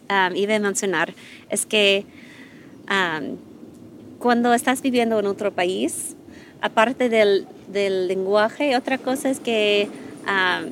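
A woman's voice: mid-range voice, background noise. American woman sharing advice, hesitant. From United States. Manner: thoughtful, many fillers and restarts. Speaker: guest, expat parent. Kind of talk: casual interview chat.

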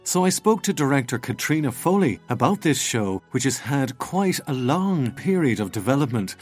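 A man's voice: medium pitch, very animated, normal speed, a little mellow, soft background music. A man hosting an arts interview in a wordy, conversational way. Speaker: arts radio show host.

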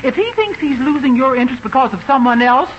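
A woman's voice: high-pitched, animated, quick pace. An actress playing a nosy, wisecracking American married woman in a 1950s radio sitcom, gushing and wry. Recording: muffled old radio recording, faint noise.

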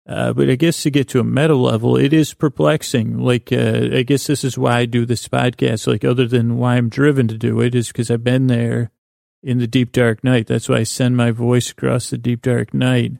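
Male bedtime podcast host: creaky, flat intonation, meandering, halting, self-deprecating, meant to lull. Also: medium pitch, no echo, studio quality. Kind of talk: rambling bedtime-story podcast monologue.

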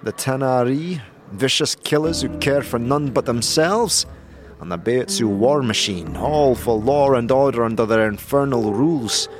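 A man's voice: mid-range voice, a little slow, pitched above the performer's own voice, lightly acted. A man voicing a jovial, weary old war veteran in a fantasy audio drama, rambling in a folksy way. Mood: weary, grim. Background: prominent background music.

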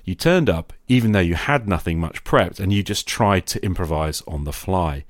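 A middle-aged man hosting a podcast, delivering a reflective scripted monologue in a measured, confessional manner. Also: deep voice, expressive, dry recording, studio quality. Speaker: podcast host.

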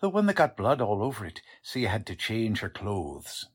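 An actor playing a gruff, bossy police sergeant who talks in rough working-class grammar, in an audio drama. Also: male voice, fairly low pitch, sing-song, normal speed. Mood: interrogating. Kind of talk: amateur audio drama acting.

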